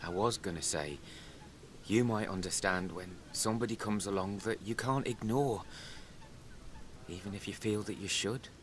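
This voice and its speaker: A man's voice: lowish voice, normal speed, slight background noise, close to an everyday voice. An actor playing an emotional, middle-aged widowed father in a naturalistic radio soap. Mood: guarded. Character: grieving widowed father, emotional and confessional, exasperated.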